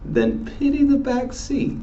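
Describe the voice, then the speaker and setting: A man's voice: very high-pitched, wildly swooping pitch, measured pace, mellow, dull audio, slight background noise, pitched above the performer's own voice, heavily acted. A man voicing a cocky, wisecracking teenage greaser boy from a novel, with a taunting, slangy delivery.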